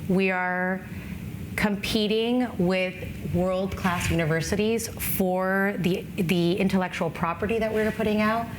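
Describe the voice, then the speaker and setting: A woman's voice: on the low side, background noise. A woman giving earnest, confident answers as a guest in an interview. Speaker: panel guest.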